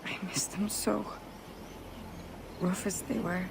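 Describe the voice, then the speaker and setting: An actress playing a rough-living young pirate woman, mournful and confessional, in a video game cutscene. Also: medium pitch, steady tone, takes it slowly, background noise. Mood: grieving.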